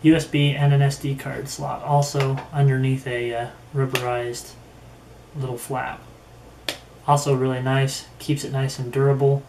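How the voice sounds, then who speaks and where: A man talks through a casual product review in an even, level voice at middling pitch. He is unhurried and halting, working out his thoughts as he speaks. The room echoes around him, with faint noise behind. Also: gravelly. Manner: unhurried, halting, thinking aloud. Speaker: gear reviewer. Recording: roomy echo with faint background noise.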